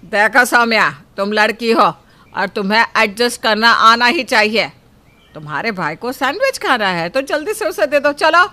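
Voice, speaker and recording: A woman's voice: a little high, animated, normal speed, pushed and bright. A woman voicing a bossy old grandmother who scolds and favors her grandson. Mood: scolding. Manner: nagging, scolding.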